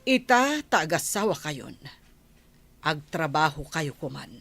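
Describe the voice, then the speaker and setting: A woman's voice: low-pitched, big pitch swings, slow pace, creaky, a bit bright, exaggerated voice. An actress playing a stern, morally upright middle-aged mother in an Ilocano radio drama, with an Ilocano accent.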